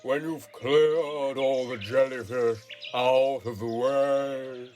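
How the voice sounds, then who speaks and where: A man playing a mournful, sighing turtle creature in an audiobook drama, with slow, sorrowful delivery. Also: mid-range voice, steady tone, darkish tone, soft background music, lightly acted. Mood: wistful. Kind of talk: scripted audiobook character acting.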